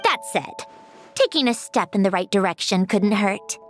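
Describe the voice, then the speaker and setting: A woman's voice: mid-range voice, animated, moderate pace, natural voice. A woman acting an aloof, sardonic young woman in a video game, guarded and matter-of-fact. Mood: wry, guarded. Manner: wry, dismissive, matter-of-fact. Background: music.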